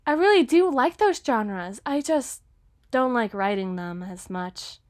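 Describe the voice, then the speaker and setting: Woman acting a high-voiced, lovestruck teenage girl writer. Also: expressive, unhurried, darkish tone, dry recording, clean studio sound. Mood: gushing, excited. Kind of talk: ASMR roleplay monologue.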